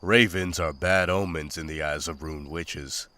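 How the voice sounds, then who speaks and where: Man as a deep, deadpan monster hunter. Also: a little slow, exaggerated voice. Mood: dry. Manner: deadpan, terse. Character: stoic, dry-witted monster hunter man. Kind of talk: visual novel voice acting.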